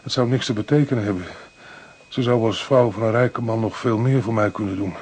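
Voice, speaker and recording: Husky, low-voiced, distraught painter. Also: male voice, a little mellow. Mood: bewildered. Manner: halting, stammering, repeats himself. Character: distraught guilt-ridden man, poor painter, confessing. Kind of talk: Dutch radio play acting.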